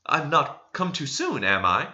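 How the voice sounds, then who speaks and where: A man as earnest young suitor, offended, gentle manner, storybook character, slightly dull recording. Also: on the high side, very animated, measured pace, pitched above the performer's own voice, exaggerated voice. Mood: polite. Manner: soft, earnest, wounded.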